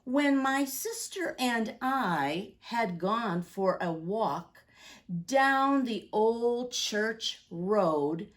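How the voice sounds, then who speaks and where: A woman, speaking deliberately and expressively to young children as a story-time teacher. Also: a little high, lively intonation. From United States, Midwest.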